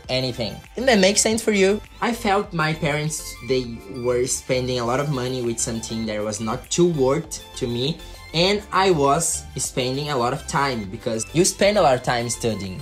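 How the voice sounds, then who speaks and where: A young man from Brazil, speaking English with a Brazilian Portuguese accent as a second language, telling his story to camera in an earnest way, full of "like" fillers. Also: medium pitch. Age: young adult. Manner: earnest, frequent "like" fillers, gestures with hands. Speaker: YouTuber. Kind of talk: personal story vlog to camera. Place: bedroom with colored lights.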